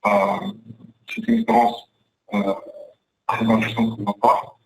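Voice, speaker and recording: A man speaks as a panel guest in a creaky, gravelly voice, picked up at some distance from the microphone. His delivery is halting and unscripted, with words repeated as he looks for the next phrase. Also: medium pitch, very animated, a little hiss or hum. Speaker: insurance network manager on a discussion panel. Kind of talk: hesitant spoken testimony about career.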